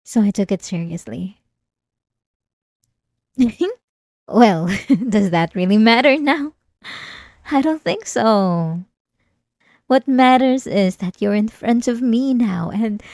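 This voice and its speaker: Slow, eerily calm obsessive woman patient, acted by a woman. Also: fairly high pitch, no room sound, studio quality, heavily acted. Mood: sweetly manipulative. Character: obsessive, manipulative female therapy patient, eerily calm and menacing. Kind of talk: ASMR roleplay monologue.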